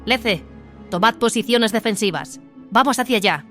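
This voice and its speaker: Una mujer que interpreta en un audiodrama a una hermana de batalla, severa, tajante, acostumbrada a mandar. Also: female voice, on the high side, lively intonation, moderate pace, a bit bright. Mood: commanding. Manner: commanding, clipped, authoritative. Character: Mirilla, stern commanding battle-sister squad leader. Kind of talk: audio drama acting. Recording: dramatic music behind the voice.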